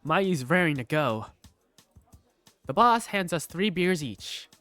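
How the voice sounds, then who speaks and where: Animated first-person visual novel narrator. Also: medium pitch, slow, no echo, faint music behind. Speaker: narrator and lead character.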